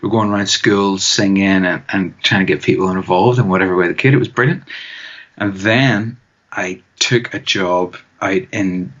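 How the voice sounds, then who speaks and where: Northern Irish guy in his twenties, relaxed guest, a bit muffled audio. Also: low-pitched, normal speed. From Northern Ireland. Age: 20s. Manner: easygoing, laughs often. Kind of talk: casual interview chat.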